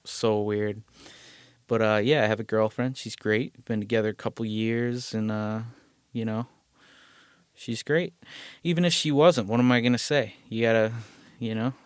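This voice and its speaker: A man talks alone into a close podcast mic, rambling and profane, poking fun at himself as he goes. His voice sits fairly low and comes through smooth and resonant. The room is dead and dry, with no echo at all. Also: normal speed. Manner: rambling, profane, self-deprecating asides. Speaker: podcast host. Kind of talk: casual solo podcast monologue.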